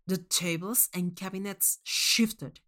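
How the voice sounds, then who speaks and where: A woman reading a first-person short story aloud as narrator, in an expressive, dramatic manner. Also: medium pitch, takes it slowly.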